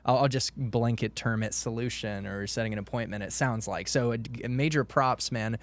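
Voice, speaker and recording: Man, podcast host, casual interviewing, upbeat, medium pitch. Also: moderate pace. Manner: casual, upbeat, says dude and let's go.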